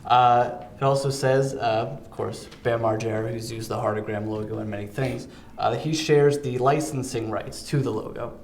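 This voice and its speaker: A man hosting an interview, upbeat, reading out prompts and giving quick short reactions. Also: medium pitch, steady tone, a little mellow, roomy sound. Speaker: interview host. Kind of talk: casual interview.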